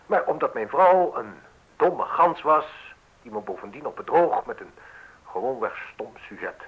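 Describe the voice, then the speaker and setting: An actor playing a self-assured, mocking man in his thirties in a radio drama, speaking deliberately with ironic, theatrical pauses. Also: mid-range voice, a bit bright, dull audio, heavily acted. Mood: defiant, amused. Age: 30s. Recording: husky-sounding radio play recording.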